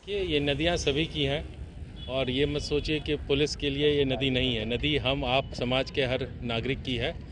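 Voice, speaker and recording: A man, a police official, giving a halting, deliberate statement to media and mixing in English words. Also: mid-range voice, steady tone, fairly bright tone. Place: outdoors. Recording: outdoor interview with traffic-like background noise.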